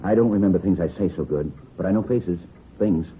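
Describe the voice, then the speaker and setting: Muddled old male hotel clerk, played by a man, low-voiced and rambling, vintage radio drama, dull noisy recording. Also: brisk, lightly acted. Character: forgetful, chatty, bottle-nipping old hotel desk clerk. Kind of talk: 1950s radio drama acting.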